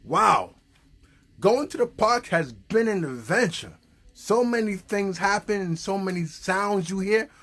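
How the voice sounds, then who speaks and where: A man's voice: high voice, animated, unhurried, a bit bright. A man hosting a puppet show, chatting casually and encouragingly and prompting with questions. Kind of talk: casual, unscripted chat.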